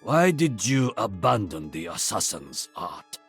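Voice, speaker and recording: A man's voice: lowish voice, sing-song, measured pace, fairly bright tone, soft background music, exaggerated voice. A man playing a stern, proud, disapproving character in a video game.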